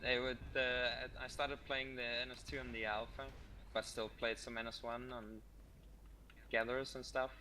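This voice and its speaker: A man's voice: mid-range voice, little pitch movement, moderate pace, sharp tone, slight background noise. A young man from Denmark with a Danish accent, a guest chatting about gaming with lots of ums and uhs. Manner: hesitant, many ums and uhs. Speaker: guest gamer. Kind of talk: casual interview chat.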